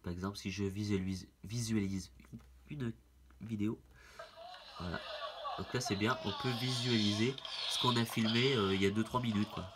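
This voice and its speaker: A man's voice: lowish voice, fairly even intonation, normal speed, noisy background. A man with a head cold, demonstrating a product in a casual, hesitant way with many fillers. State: has a head cold, stuffy. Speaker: product reviewer. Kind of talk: casual hands-on product demo.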